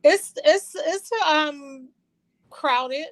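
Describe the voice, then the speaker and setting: A woman in her forties joins a relaxed live-stream chat as a guest. She talks in a lively, teasing way. Her voice sits a little high and sounds dry and close. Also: extremely slow, dry recording. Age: 40s. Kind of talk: casual chat on a video call.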